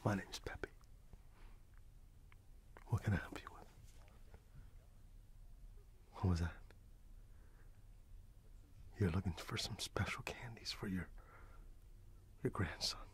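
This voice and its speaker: Middle-aged man, friendly candy seller for ASMR, lowish half-whispered voice, gentle, close-miked. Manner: gentle, whispery, unhurried customer service. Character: friendly, kindly candy shop owner. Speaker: ASMR roleplayer playing a candy shop owner. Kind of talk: soft-spoken ASMR roleplay.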